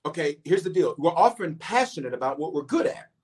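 A middle-aged man preaching with a voice pitched a bit high for a man. He swings from soft, conversational asides into sudden loud, emphatic bursts. He repeats lines for weight and talks straight to the people watching online. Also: sing-song, fairly quick. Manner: talks directly to online viewers, calling for waves and shout-outs. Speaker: pastor and bishop. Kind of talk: livestreamed sermon and prayer.

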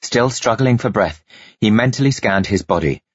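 Guy narrating an audiobook, low n husky, really expressive, dry slightly dull recording. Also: moderate pace, no echo. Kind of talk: dramatic scripted narration.

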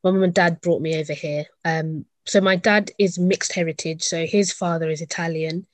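A woman's voice: on the low side, fairly even intonation, moderate pace, no room sound. A woman in her twenties, born in Uganda and raised in England, talking through her upbringing in a reflective, chatty way with frequent ums. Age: 20s. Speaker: interview guest. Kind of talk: casual conversational interview.

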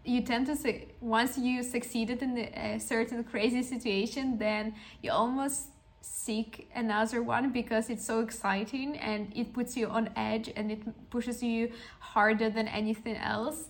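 Young woman podcaster, kinda even tone with lots of fry, earnest pep-talk storytelling. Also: on the high side, measured pace. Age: young adult. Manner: earnest, reflective, motivational. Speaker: podcast host. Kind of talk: personal storytelling monologue.

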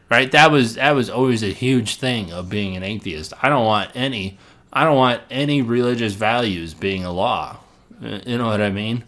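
A man's voice: lowish voice, a bit bright. A middle-aged man from Illinois hosting a solo podcast, thinking aloud in a rambling way, restarting and repeating words, with small laughs and casual swearing. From Illinois, United States. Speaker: podcast host.

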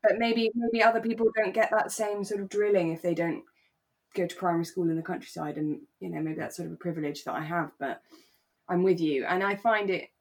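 A woman's voice: fairly low pitch, steady tone, fairly quick. A British woman chatting informally as the co-host of a podcast. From England. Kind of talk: relaxed chat discussing a radio drama.

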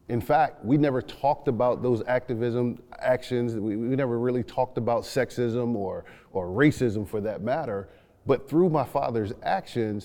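Mellow-voiced man talking in long reverb. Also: mid-range voice. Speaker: co-host, youth mentor. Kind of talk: reflective discussion talk.

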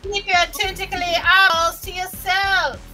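A woman's voice: very high voice, level tone, measured pace. A boisterous woman bantering as a tabletop game player, jokey and sweary, with faint synth music behind.

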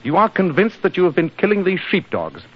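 Sharp-witted british detective, clipped and sure of himself, swooping husky voice, muffled old radio sound. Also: male voice, sits high, wildly swooping pitch, brisk, heavily acted. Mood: commanding. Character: brisk, commanding, self-assured English gentleman detective. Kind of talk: 1940s radio acting. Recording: dull 1940s radio recording.

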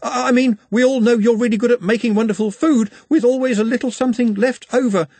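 A man's voice: sits high, big pitch swings, fairly quick, breathy edge, no room sound, voice pushed up, full character voice. A man voicing an anxious, chatty young maid who gets flustered, in a fantasy audiobook.

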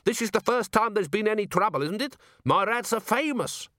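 A man putting on an indignant dwarf, pitched way up. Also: animated, a little fast, a bit bright, dry recording, studio quality. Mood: defensive. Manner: defensive, protesting. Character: indignant, blustering male dwarf restaurant owner. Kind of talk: audiobook character voice.

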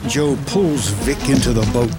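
A man's voice: medium pitch, normal speed. A man reading scripted screenplay narration of a film story. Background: music.

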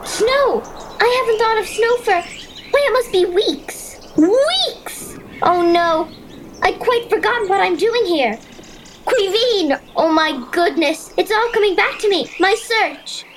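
A woman playing a curious, excitable young Irish girl, acting and narrating her adventure in a wide-eyed, expressive way for an audio drama. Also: very high voice, slow pace, noisy background, heavily acted. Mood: alarmed, urgent. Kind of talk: audio drama acting and first-person storytelling. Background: music.

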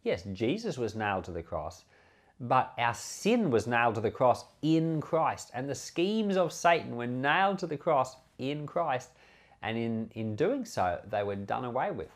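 A man's voice: mid-range voice, very animated, unhurried. A man with an Australian accent, a pastor giving an informal sermon, explaining scripture conversationally and posing rhetorical questions. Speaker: pastor and Bible podcast host.

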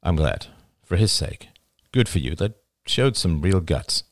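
A man's voice: sits low, very animated, no room sound, full character voice. A man playing a grave, commanding male conference speaker with a solemn, urgent manner in an audiobook. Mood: grave, gentle. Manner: solemn, deliberate warnings, barking when roused.

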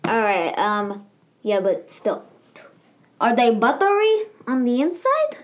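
A young woman co-hosting a casual chat, joking and quick with comebacks. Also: a little high, sing-song. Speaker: podcast co-host.

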